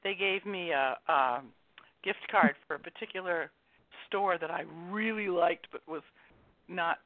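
A woman's voice: lowish voice, measured pace, no room sound. Chatty woman guest on a phone line. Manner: chatty storyteller, laughs at herself. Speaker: guest author. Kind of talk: casual radio interview chat. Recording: dry, tinny phone line.

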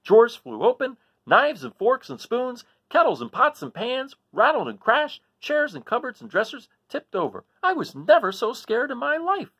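A man's voice: very high voice, big pitch swings, normal speed, few pauses, fairly bright tone, husky, voice pushed up, exaggerated voice. A man voicing a folksy little dog who narrates a scary adventure in rural American dialect, as in a children's read-aloud. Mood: breathless, scared.

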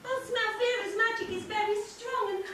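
A woman's voice: extremely high pitch, steady tone, moderate pace, crowd noise. A woman playing a kindly, worried fairy in a stage pantomime, explaining earnestly. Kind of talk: amateur pantomime stage acting. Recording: distant echoey hall, noisy camcorder tape.

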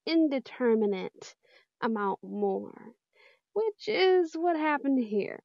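A woman's voice: a little high, a little mellow, dry recording, muffled recording. A woman who creates audio roleplays, chatting casually to her listeners. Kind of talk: casual intro chat to listeners.

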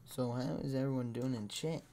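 A young man streaming a game from home, with a voice he says is lost, talking slowly to his chat. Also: medium pitch, fairly even intonation, mellow, a little hiss or hum. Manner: slow, mumbling, talks to chat between plays. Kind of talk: casual gaming livestream chat. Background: game music and keyboard clicks.

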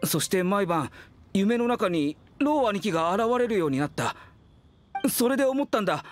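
A man's voice: high voice, very animated, normal speed. Earnest young male undertaker for an anime game; brooding, troubled, over background music. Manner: serious, brooding.

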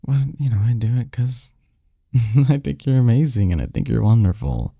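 A man playing a lovestruck young research assistant in an intimate ASMR romance roleplay, murmuring haltingly as he confesses to his best friend. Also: medium pitch, creaky, mellow, breathy edge, no room sound, studio quality. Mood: tender, admiring. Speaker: audio roleplay performer.

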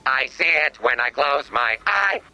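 A small, nervous video game robot that talks like an old-time gangster. It is halting and dramatic, with gravel in the tone and lively swings, over faint noise. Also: male voice, medium pitch, exaggerated voice. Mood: frightened. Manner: halting, third-person, dramatic.

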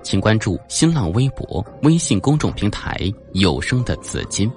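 A man's voice: lowish voice, very animated, normal speed, faint music behind. A man narrating a suspense novel in a scripted, dramatic audiobook style.

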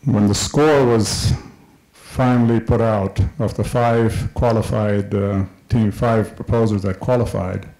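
Impassioned man with a mellow voice. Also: lowish voice, fairly even intonation, measured pace, low-quality recording. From Kenya. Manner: impassioned, emphatic, repeats key points. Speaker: developer speaking at a public listening session. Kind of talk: public comment speech. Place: large hall with a screen, indoors. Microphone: handheld microphone.

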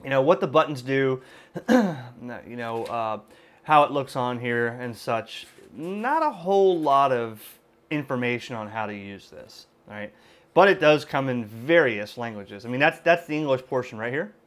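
A man with a mid-pitched voice talks casually through a product demonstration. His explanations are informal and conversational, often hedged, like someone thinking aloud at his desk. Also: expressive, a little slow, fairly bright tone. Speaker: amateur radio video host and product reviewer. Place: indoors at a computer desk.

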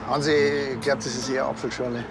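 A man plays a grumpy Bavarian policeman in a TV crime comedy. His voice sits at a medium pitch, and he delivers sceptical digs deadpan. Music plays behind him. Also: quick pace, vocal fry, natural voice. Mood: dry, sceptical. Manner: deadpan, needling. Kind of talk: naturalistic TV crime comedy dialogue.

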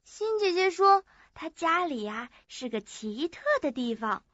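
A woman acts out a sweet, naive young woman in a radio play. Her voice is extremely high and unhurried, feeling its way hesitantly through each phrase. The sound is dry but a little muffled and lo-fi. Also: very animated, a bit bright, no room sound, full character voice. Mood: innocent, earnest. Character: childlike, sweet, forgetful young woman just awakened. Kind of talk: audio drama acting. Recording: muffled lo-fi audio.

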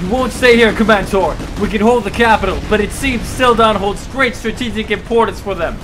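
An actor playing a dutiful soldier giving clipped military reports in a sci-fi audio drama. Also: male voice, high voice, a little fast, very noisy, natural voice. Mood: dutiful, tense. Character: dutiful male soldier reporting to his commander. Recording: loud music and battle sounds behind.

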